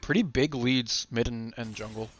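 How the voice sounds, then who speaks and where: A man from Israel casting a live esports match, calm and dry in his play-by-play. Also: medium pitch, normal speed. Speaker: esports caster. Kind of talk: live game commentary.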